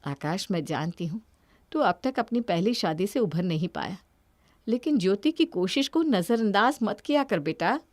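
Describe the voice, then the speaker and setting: A woman plays a caring older mother-in-law in a cartoon drama. Her voice is mid-pitched and protective, with a very dry, close sound. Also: lively intonation, normal speed, darkish tone, no echo, close to an everyday voice. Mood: protective, chiding. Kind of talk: Hindi cartoon moral-story voice acting.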